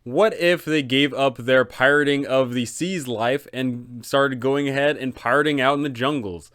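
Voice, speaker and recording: Male host for tabletop game guide: clear, resonant, medium pitch, dramatic and enthusiastic, close dry recording. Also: normal speed, a bit bright, dry recording. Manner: dramatic, emphatic storytelling delivery. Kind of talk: enthusiastic scripted explainer for game masters.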